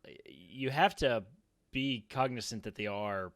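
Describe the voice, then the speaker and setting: A man's voice: medium pitch, measured pace, no echo. Man chatting casually in a dry room. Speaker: podcast co-host. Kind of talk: casual roundtable discussion. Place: small home room, indoors. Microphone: boom-arm studio mic up close.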